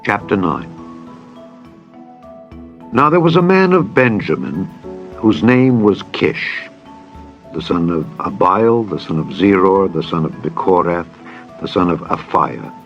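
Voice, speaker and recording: Slow, solemn man reading scripture over music. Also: low-pitched, muffled recording. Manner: solemn, measured, formal. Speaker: Bible narrator. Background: background music.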